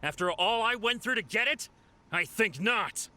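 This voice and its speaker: A man's voice: sits high, animated, normal speed, sharp tone, a little hiss or hum, heavily acted. A man voicing a boastful, scheming thief in an anime game, theatrical and exclamatory, with music playing behind him. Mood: defiant. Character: boastful, excitable male thief, defiant and scheming.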